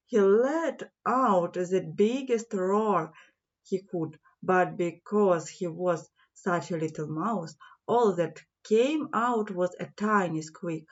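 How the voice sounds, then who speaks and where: A woman narrates a fairy tale for young listeners. She takes her time, stretching out key words for emphasis. The audio is a little dull and lo-fi. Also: medium pitch. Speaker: children's audiobook reader.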